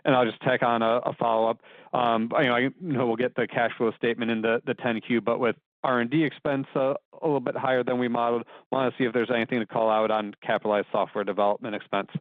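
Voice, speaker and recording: A man's voice: mid-range voice, no room sound. A male analyst asking conversational business questions on an earnings call. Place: phone line. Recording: dry, narrow phone line audio.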